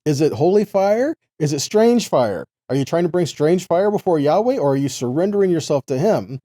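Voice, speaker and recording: Mellow-voiced man preaching from scripture. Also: fairly high pitch, expressive, normal speed, no echo, studio quality. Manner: earnest, rhetorical questions to listeners. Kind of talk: sermon, Bible teaching with scripture readings.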